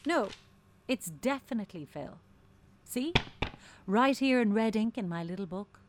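A woman's voice: a little high, expressive, unhurried, a little hiss or hum. An actress playing a breezy, patronising woman radio producer, sugary and chatty with veiled menace, in a darkly comic audio drama. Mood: breezy, condescending. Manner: sugary, condescending, theatrically chatty.

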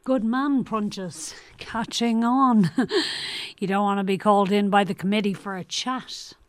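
An actress playing a dry, clever, teasing colleague in a darkly comic audio drama, wry and understated. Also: female voice, medium pitch, steady tone, measured pace, no room sound, natural voice.

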